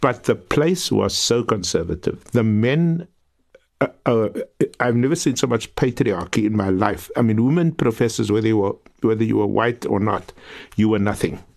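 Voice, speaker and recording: A man's voice: fairly low pitch, moderate pace, vocal fry, darkish tone. A middle-aged South African man, a guest professor, telling his story in a reflective, earnest way with frequent restarts. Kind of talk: podcast interview.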